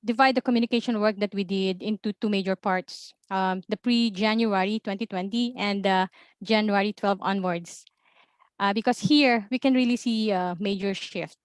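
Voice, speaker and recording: A woman's voice: mid-range voice, steady tone, smooth, dry recording. Filipino woman giving a webinar talk. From Philippines. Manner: measured, with frequent ums and uhs. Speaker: volcano observatory information officer. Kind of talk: webinar presentation.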